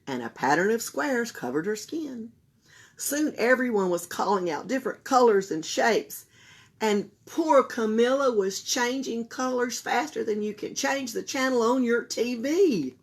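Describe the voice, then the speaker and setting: A woman reading a children's picture book aloud for a library, slow and expressive. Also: a little high. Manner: slow, expressive, breathy storytelling. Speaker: library storyteller.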